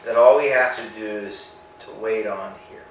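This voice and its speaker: A man playing a weary, gloomy tramp in a staged reading, speaking in a slow, deadpan way. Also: lowish voice, close to an everyday voice. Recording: echoey room, far mic, faint hiss.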